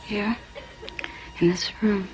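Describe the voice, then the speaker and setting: An actress playing a young woman under hypnosis, answering in a dazed, hushed, slow way, over music. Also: medium pitch, fairly even intonation, slight background noise, lightly acted, rough recording. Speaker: hypnosis subject.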